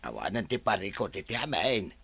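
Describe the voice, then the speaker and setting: An actor playing an older male household servant in a radio drama, humble and halting, speaking deferentially to his employer. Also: lowish voice, sing-song, creaky, fairly bright tone, slightly rough, full character voice. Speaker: servant character.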